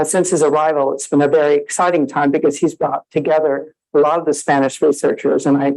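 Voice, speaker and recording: A woman's voice: deep voice, a little mellow, low-quality recording. A woman from the United States, an associate dean, giving a friendly conference presentation with many fillers. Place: conference hall.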